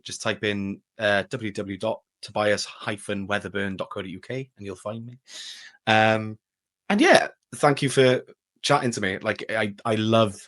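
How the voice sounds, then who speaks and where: A man's voice: on the low side, a bit bright. A man, a voice actor chatting casually as an interview guest. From Wales.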